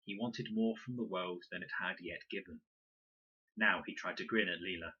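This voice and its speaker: A man reading a children's novel aloud as expressive, deliberate scripted narration. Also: deep voice, husky, lo-fi sound. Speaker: story reader, teacher.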